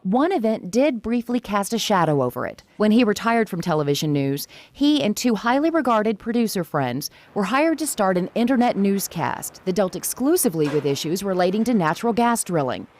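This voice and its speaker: A woman's voice: mid-range voice, very animated, fairly quick, hardly any pauses, darkish tone. Casting: female TV anchor in middle age, mid-range voice, scripted news feature delivery.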